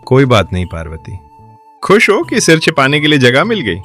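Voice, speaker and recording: A man's voice: medium pitch, huge pitch swings, moderate pace, fairly bright tone, faint music behind. A man voicing an older merchant relative who asks for shelter in an apologetic, ingratiating way, for a cartoon story.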